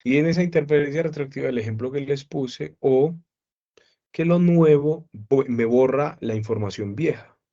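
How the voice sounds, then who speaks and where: A man's voice: mid-range voice, mellow, dull audio. Man teaching a psychology class. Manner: explains slowly with repeated questions to students. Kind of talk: online class lecture.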